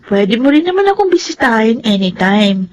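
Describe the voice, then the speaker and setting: A woman's voice: fairly high pitch, sing-song, normal speed, crowd noise. A woman playing a caring, earnest young Filipino daughter in a radio drama, speaking affectionately and respectfully. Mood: earnest, insistent. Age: young adult.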